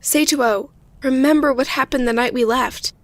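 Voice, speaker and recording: A woman's voice: sits high, moderate pace, no echo, lightly acted. A woman voicing a small, anxious young creature in an audio drama. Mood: concerned. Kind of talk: audio drama character acting.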